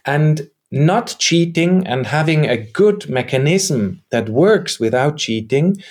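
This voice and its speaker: A man's voice: a little high, animated, takes it slowly, darkish tone. A man whose first language is German, answering interview questions in a searching, reflective way as a theater maker. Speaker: theater maker and game co-creator.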